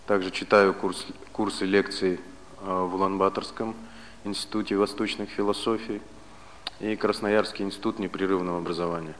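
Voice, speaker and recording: Deep-voiced man lecturing in a reverberant hall. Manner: deliberate, didactic, short phrases with pauses and repetition. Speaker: spiritual teacher and philosophy lecturer. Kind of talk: lecture on Vedic philosophy to a live audience. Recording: reverberant hall, loud steady background noise.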